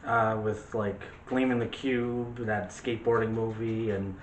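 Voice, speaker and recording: A man's voice: fairly low pitch, little pitch movement, measured pace. Male host for casual movie talk, rambling and self-correcting, recorded in a reverberant room. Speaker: host of a film discussion show. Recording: roomy echo with faint background noise.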